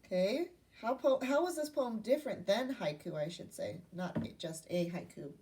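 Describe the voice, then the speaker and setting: A woman's voice: medium pitch, animated, moderate pace, warm tone, recorded from a distance. A woman primary school teacher giving an interactive read-aloud lesson to young children, asking questions and repeating key points in an instructional way.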